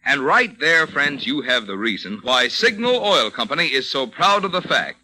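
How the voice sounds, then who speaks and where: Bright, punchy male radio announcer. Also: a little high, rough recording. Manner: emphatic salesman delivery with rhetorical questions. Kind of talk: scripted 1940s radio advertisement. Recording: old radio recording, faint noise.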